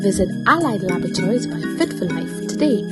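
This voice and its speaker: Woman reading a lab ad over loud music. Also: mid-range voice, animated, a little fast. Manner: bright, sing-song selling. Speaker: ad announcer. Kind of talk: radio commercial read. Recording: commercial with loud music bed.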